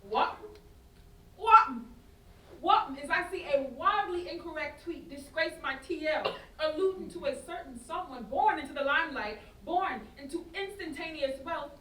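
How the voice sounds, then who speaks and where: Actress as a high, swooping young woman, vexed. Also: full character voice. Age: young adult. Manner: exasperated, animated, slangy. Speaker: student actor in a staged reading. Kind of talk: theatrical staged play reading. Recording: roomy, distant stage recording with noise.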